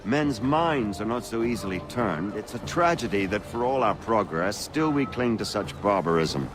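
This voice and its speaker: An eloquent, genial colonial gentleman from a video game, voiced by a man. His low voice moves with lots of lift and fall, polished and self-assured. Music plays clearly behind. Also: animated, normal speed, natural voice. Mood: earnest, defensive. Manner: polished, oratorical, affable.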